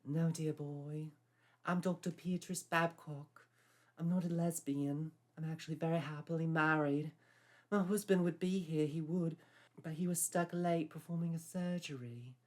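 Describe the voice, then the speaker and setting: A man's voice: on the high side, steady tone, measured pace, darkish tone, voice pushed up, natural voice. A man voicing a posh, fretful older woman doctor with a prim, fussy manner.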